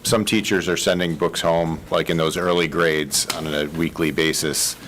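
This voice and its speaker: A man's voice: low-pitched, fairly even intonation, moderate pace, fairly bright tone, slight background noise, poor audio. A man on the school board asking questions with hesitant, careful phrasing. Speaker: board member. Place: meeting room.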